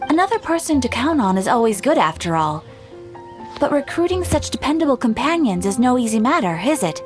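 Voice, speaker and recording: A courteous guild receptionist. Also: female voice, high voice, sing-song, fairly quick, over music. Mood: polite. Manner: courteous, formal. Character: polite young woman guild receptionist. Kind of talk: game voice acting.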